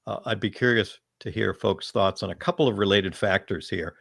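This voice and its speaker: Low-voiced man moderating a panel, dry sound. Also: normal speed, vocal fry, fairly bright tone, breathy edge, no room sound. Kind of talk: unscripted panel discussion.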